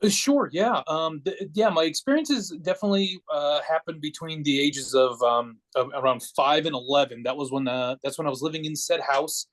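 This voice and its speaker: A man's voice: a little high, moderate pace, hardly any pauses, fairly bright tone. A man of forty from Connecticut with an American accent, telling personal stories casually as a podcast guest in a rambling, matter-of-fact way. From Connecticut, United States.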